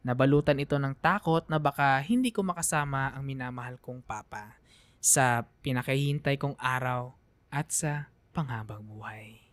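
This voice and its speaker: Filipino student letter-narrator for radio drama: sorrowful, reflective, dry studio sound. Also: female voice, rumbling low, normal speed, dry recording. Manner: sorrowful, reflective, trailing off softly. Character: saddened student narrating a letter about an ailing father.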